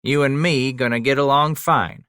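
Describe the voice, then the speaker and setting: A man's voice: mid-range voice, fairly even intonation, normal speed, bright, forceful tone, clean tone, dry recording, studio quality, pitched above the performer's own voice, natural voice. A man voicing a crude, coarse frontiersman who talks in swaggering, drawling slang.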